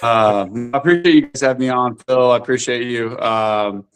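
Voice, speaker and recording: A man in his forties, a podcast guest, talking in a halting way with many fillers and restarts. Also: medium pitch. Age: 40s. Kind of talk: casual podcast chat.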